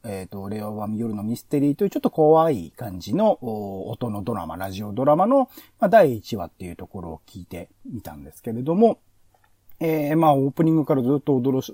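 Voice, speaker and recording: A man's voice: medium pitch, dark tone, husky, no room sound, studio quality. A man hosting a podcast, giving conversational review commentary in an analytical, explanatory way. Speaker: podcast host.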